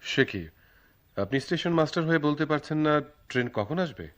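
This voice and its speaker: A man's voice: a little high, lively intonation, brisk, close to an everyday voice. A man with a Bangladeshi accent acting a reassuring young man in love in a radio drama, patient and a little theatrical. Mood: puzzled, polite. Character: reassuring young man eloping with his sweetheart.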